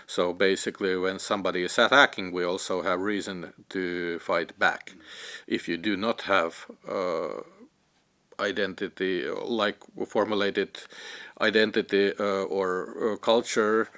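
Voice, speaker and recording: A young man who grew up in Finland with Ukrainian as his first language, speaking haltingly in a documentary interview with many fillers and restarts as he searches for words. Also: low voice, little pitch movement, fairly bright tone. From Ukraine, raised in Finland. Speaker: interviewee, cellist and filmmaker.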